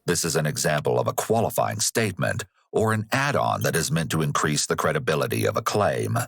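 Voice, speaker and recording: A man narrating a true crime analysis video in a measured, explanatory way. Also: very deep voice, animated, vocal fry, fairly bright tone, husky.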